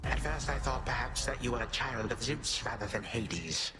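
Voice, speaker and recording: An actor playing a menacing, condescending ancient Titan grandfather in a video game, with slow, archaic phrasing. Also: medium pitch, animated, lightly acted. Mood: cold menace. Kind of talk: dramatic video game acting. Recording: game music behind voice.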